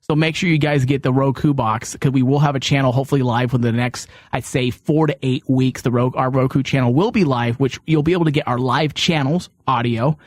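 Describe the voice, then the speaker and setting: A man's voice: mid-range voice, fairly even intonation, a little fast, few pauses, no room sound. A man hosting a studio podcast in a casual, chatty style with teasing banter. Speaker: podcast host.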